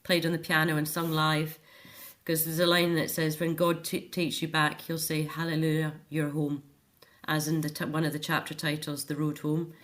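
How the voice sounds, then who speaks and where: Lower-pitched Scottish woman, chatty and earnest. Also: flat delivery, normal speed. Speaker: interview guest, author and care home activities volunteer or worker. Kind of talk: informal radio interview chat.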